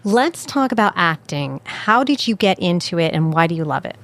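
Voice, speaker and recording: A woman's voice: on the low side, very animated, moderate pace, fairly bright tone. An American woman hosting a local talk show, chatty and eager, asking lots of questions. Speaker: host. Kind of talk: casual chat.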